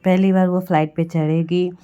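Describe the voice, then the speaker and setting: Low, husky-voiced young woman with a sore throat. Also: little pitch movement, normal speed, warm tone, highs cut off. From Bihar. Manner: chatty, hesitant, with coughs and throat clearing. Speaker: vlogger. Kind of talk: voice-over narrating a travel vlog.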